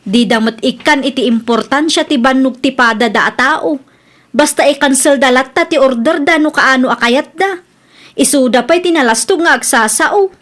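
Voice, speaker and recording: High-pitched, earnest young Filipina daughter played by an actress. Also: female voice, moderate pace, a bit bright. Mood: indignant. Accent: Ilocano. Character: hardworking young daughter selling online, respectful but easily indignant. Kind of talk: Ilocano radio drama acting.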